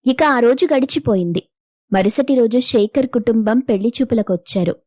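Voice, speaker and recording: A woman's voice: fairly high pitch, moderate pace, darkish tone, no room sound, clean studio sound. A woman storyteller reading a scripted moral story as narrator.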